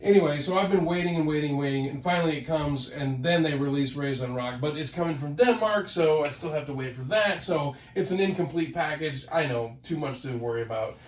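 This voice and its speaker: A man with a head cold, sounding nasal, hosting a casual collector vlog from his home office in an excitable, rambling way that repeats words. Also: on the high side, fairly quick, few pauses, a little hiss or hum. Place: home office, ten-foot ceiling. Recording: roomy home office, mic at a distance.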